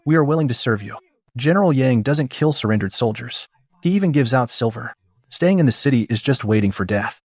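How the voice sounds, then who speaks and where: A man's voice: mid-range voice, expressive, fairly quick, darkish tone, natural voice. A man playing a plain, earnest soldier in a dubbed comic drama. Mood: aggrieved, earnest. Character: plain, earnest male soldier reporting and pleading.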